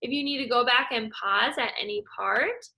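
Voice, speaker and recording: A young adult woman teacher reads a picture book aloud, then gives young students a task in an encouraging way. Her voice is bright and a little high. She speaks plainly to children. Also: normal speed, gravelly. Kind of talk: children's read-aloud and lesson.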